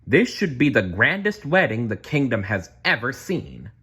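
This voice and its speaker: A man's voice: medium pitch, big pitch swings, normal speed, sharp tone, slightly rough, voice pushed up, heavily acted. A man voicing a stern, bossy castle housekeeper woman from a storybook, emphatic and insistent. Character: bossy, stern female castle housekeeper, snobbish about weddings.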